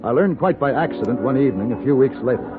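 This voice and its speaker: An actor playing a composed, courteous gunfighter for hire in a 1950s radio western, speaking with measured, dry politeness. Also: male voice, mid-range voice, lively intonation, close to an everyday voice. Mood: reflective. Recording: muffled old radio recording, music underneath.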